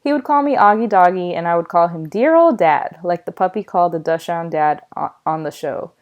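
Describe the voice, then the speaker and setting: A woman reading a children's novel aloud in a storytelling style, with expressive delivery. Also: fairly low pitch, a little fast, highs cut off. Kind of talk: read-aloud storytelling with acted dialogue.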